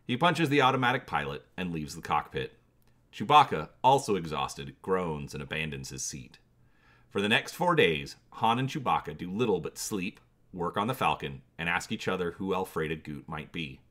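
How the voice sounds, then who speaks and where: A man's voice: low voice, big pitch swings, moderate pace, fairly bright tone. A man hosting his channel, giving a dramatic reading of an adventure gamebook and inviting viewers to comment on choices.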